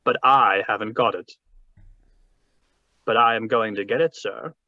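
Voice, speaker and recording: A man's voice: fairly low pitch, a little slow, dry recording, lightly acted. A man playing a suave, wordy older crime boss with pompous, florid politeness in a 1940s-style radio drama over a video call. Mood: intent, relishing. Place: remote read-through over a video call. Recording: muffled, dry video-call audio.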